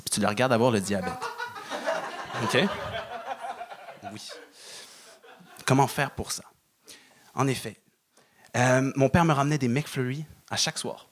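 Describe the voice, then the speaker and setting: Halting, deadpan young male comic. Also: medium pitch. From Moroccan family. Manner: deadpan, halting with many fillers. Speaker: stand-up comedian. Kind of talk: stand-up set. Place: comedy club stage.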